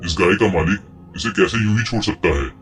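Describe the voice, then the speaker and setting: A man's voice: sits low, fairly quick, a bit bright, soft background music. A man playing a plain-spoken village man in a cartoon moral tale, talking expressively. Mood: puzzled. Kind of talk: Hindi cartoon story dubbing.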